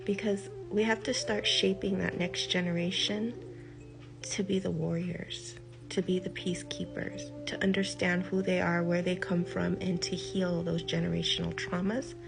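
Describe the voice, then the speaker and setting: An older woman, a healer, speaking in a slow, deliberate monologue, stressing her points by repeating them and letting phrases trail off. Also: fairly low pitch, flat delivery, vocal fry, rough recording. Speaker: healer giving a talk. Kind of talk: reflective spoken monologue. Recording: music behind the voice.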